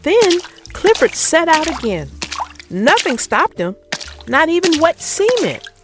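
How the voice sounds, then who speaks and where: Animated high-pitched woman reading a children's story. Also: slow, over music. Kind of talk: expressive read-aloud of a picture book.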